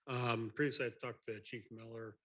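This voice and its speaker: A male council member who is losing his voice, making formal motions haltingly and clearing his throat. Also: mid-range voice, little pitch movement, pushed and bright. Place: city hall meeting chamber.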